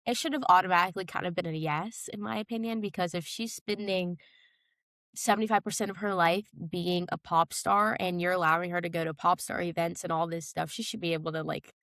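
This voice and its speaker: A young woman co-hosting a casual pop-culture podcast, chatting in an animated, playful way with dramatic retellings. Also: lowish voice, fairly quick, dry recording. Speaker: podcast co-host.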